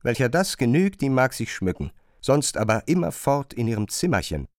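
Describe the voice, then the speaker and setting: A man narrating a literary radio play, retelling a chambermaid's grievances in an expressive, emphatic way. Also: medium pitch, normal speed, no echo, studio quality. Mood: engaged, aggrieved.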